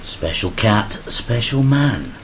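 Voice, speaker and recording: A man's voice: fairly low pitch, slow, background noise. A man playing a wistful, weathered sailor, speaking hesitantly and plainly in a gothic audio play. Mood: wistful, fond. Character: wistful, weathered male sailor, survivor of a flogging. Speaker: actor in an audio drama. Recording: steady rain behind the voice.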